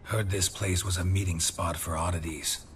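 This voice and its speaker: An actor playing a stoic, battle-worn monster hunter, terse and dryly witty, in a fantasy video game. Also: male voice, sits low, normal speed, slight background noise, lightly acted. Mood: deadpan, wry. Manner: terse, deadpan. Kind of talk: dramatic video game dialogue.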